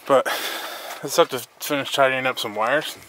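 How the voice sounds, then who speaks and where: An American man talks through a hands-on job in a casual, laid-back vlog style. His voice is bright and forceful at an average male pitch. The handheld outdoor recording carries faint background noise. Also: moderate pace, low-quality recording. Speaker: vlogger, mobile welder. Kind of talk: casual vlog walk-through of a truck install.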